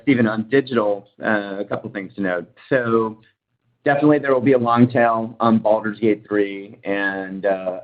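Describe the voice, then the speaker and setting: A man with a fairly low voice answers as a company executive on an earnings call. He talks in measured corporate language with conversational asides. The sound is slightly dull, like a conference line. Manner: measured, hedging with "you know".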